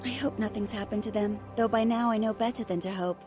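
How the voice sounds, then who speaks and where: A woman playing an eager, plucky young fantasy adventurer in a video game, expressive and earnest. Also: mid-range voice, quick pace, lightly acted. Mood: wary. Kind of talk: video game cutscene acting. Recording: loud game music behind.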